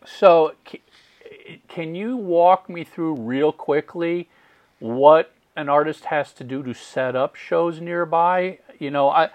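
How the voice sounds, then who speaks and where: A man's voice: fairly high pitch, slow, dry recording, muffled recording. A male podcast host interviewing a guest, asking questions with restarts and repeats. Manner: asks questions with false starts and repeats.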